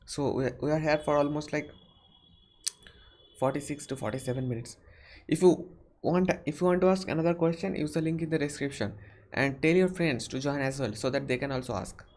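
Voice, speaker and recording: A young man with an Indian accent chats loosely through a live Q&A stream. His voice sits a touch high, and he strings his thoughts together with plenty of fillers and false starts. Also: a little fast, darkish tone. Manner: rambling, many fillers and restarts. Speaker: livestream host answering viewer questions. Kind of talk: casual live Q&A chat.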